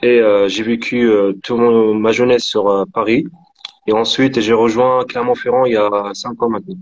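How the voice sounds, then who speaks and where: A man in his thirties from Morocco, a painter answering interview questions in a halting way, with many pauses and restarts. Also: mid-range voice, little pitch movement. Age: 30s. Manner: hesitant, many fillers and restarts. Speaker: guest painter. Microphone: remote call on live stream. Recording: dull lo-fi stream audio.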